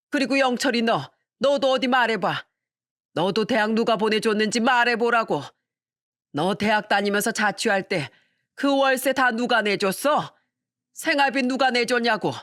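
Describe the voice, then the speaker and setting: A woman's voice: fairly high pitch, a bit bright, no echo, studio quality, voice pushed up. A woman voicing a furious elderly mother-in-law who scolds her sons emphatically in a dramatized family story.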